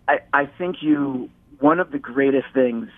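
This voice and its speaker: A man's voice: mid-range voice, unhurried, no room sound. A man from the Detroit area, a guest chatting casually in an interview, rambling with many restarts and repeated words. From Metro Detroit. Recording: tinny phone line.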